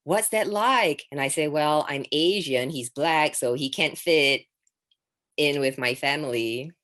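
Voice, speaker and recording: Low-voiced deadpan woman doing stand-up. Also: unhurried. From Singapore. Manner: deadpan delivery with sudden high-pitched exclamations. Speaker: stand-up comedian. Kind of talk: stand-up set.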